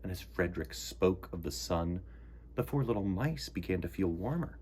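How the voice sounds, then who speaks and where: A man hosting storytime for children, reading and chatting expressively with dramatic pauses and a husky voice. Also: low voice, lively intonation, darkish tone, slight background noise.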